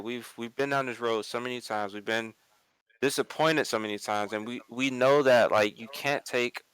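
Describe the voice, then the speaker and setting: A man with a fairly deep, clean voice in a very dry recording. He argues casually as a panelist, leaning on repetition to drive his opinions home. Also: fairly bright tone, smooth, no room sound, low-quality recording. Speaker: panelist on an online pop culture show. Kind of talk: casual opinionated group discussion.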